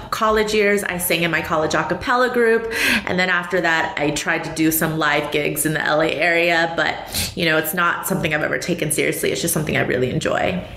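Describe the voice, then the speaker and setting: A woman's voice: lowish voice, brisk, a bit bright, reverberant, slight background noise. A woman in her thirties from Taiwan, a guest on an interview, talking casually and reflectively and laughing lightly as she goes. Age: 30s. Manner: chatty and reflective, laughs lightly mid-sentence. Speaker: podcast guest, professional poker player.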